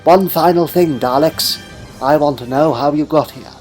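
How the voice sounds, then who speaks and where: A man voicing a bold, theatrical eccentric hero in a fan sci-fi audio story, declaiming loudly at his enemies. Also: fairly high pitch, moderate pace, soft background music, natural voice. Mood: challenging. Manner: loud, declamatory calling out.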